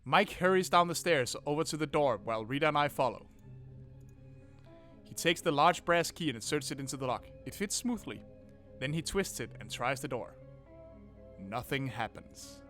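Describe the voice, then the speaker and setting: A man streaming a visual novel, reading it aloud and reacting with animated, laughing, swearing commentary. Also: a little high, normal speed, long pauses, soft background music. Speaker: game streamer.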